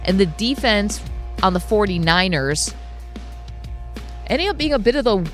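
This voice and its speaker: A woman co-hosting a sports talk show, chatting casually with quick asides and frequent laughs. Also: mid-range voice, big pitch swings, soft background music. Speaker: sports radio co-host.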